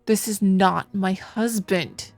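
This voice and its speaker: A woman's voice: medium pitch, fairly even intonation, slow pace, gravelly, no room sound. A woman voicing a frightened, defiant young noble wife in a visual novel, emphatic, indignant and pleading, with music behind. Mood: alarmed, defiant. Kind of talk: dramatic visual novel voice acting.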